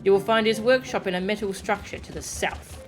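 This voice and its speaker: A woman voicing a solemn, formal fish-folk sea dweller from a video game, speaking in measured archaic phrases. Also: mid-range voice, close to an everyday voice. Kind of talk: reading video game character dialogue. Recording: game music behind the voice.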